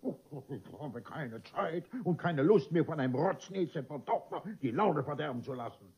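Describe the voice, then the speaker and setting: A dull old radio play recording of a pompous bourgeois father. His husky voice swoops theatrically as he blusters and commands, stammering when he gets flustered. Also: a little high, expressive, moderate pace. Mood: imperious. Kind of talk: theatrical classic comedy radio play acting.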